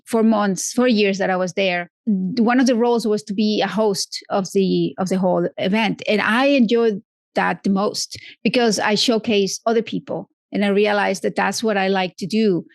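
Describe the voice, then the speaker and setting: A woman's voice: mid-range voice, fairly even intonation, normal speed, vocal fry, dry recording. A woman guest on a podcast, chatting casually with many restarts and self-corrections. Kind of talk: casual interview chat.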